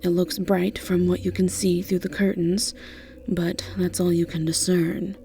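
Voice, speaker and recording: A woman's voice: fairly low pitch, flat delivery, unhurried, creaky, warm tone, slight background noise. A woman reading scripted second-person story narration as the narrator of an audio drama. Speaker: narrator and voice actor.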